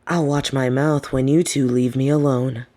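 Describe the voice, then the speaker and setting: A woman's voice: rumbling low, steady tone, moderate pace, dark tone. A young woman acting in a scripted audio roleplay as a defiant, fed-up adult daughter of a snobby rich family, with a sardonic, emphatic delivery. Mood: exasperated, mocking.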